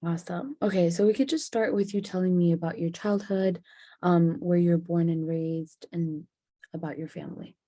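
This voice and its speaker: A woman's voice: lowish voice, fairly even intonation, normal speed, warm tone, no echo, clean studio sound. A woman asking polite, casual questions as an oral history interviewer.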